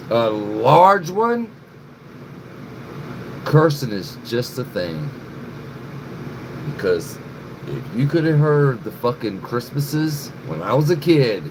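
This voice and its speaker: A man's voice: mid-range voice, rough recording. A middle-aged man hosting a casual livestream, rambling and cursing freely as he answers viewers in the chat by name. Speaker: livestream host. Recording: noticeable background noise, air conditioning hum.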